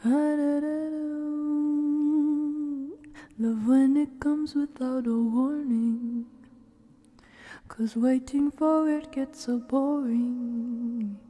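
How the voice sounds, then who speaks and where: A woman live streamer with a soft, gentle manner, drifting between casual ASMR chat and soft singing. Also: high voice, level tone, very slow, long pauses, soft background music.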